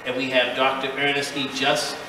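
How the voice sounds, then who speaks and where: An older man from Massachusetts gives a semi-formal guest speech in a measured, deliberate way with hesitations. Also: medium pitch, completely flat delivery. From Massachusetts, USA. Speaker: guest speaker, retired teacher and community leader. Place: large echoey indoor event room. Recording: echoey hall, distant mic, background noise.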